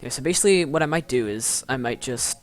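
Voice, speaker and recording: Young male streamer, mid-pitched, stutters constantly, blunt no-mercy roasting tone, faint background music. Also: lively intonation, fast talker. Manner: stuttering, rambling, with frequent restarts. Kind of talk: casual live-stream chat.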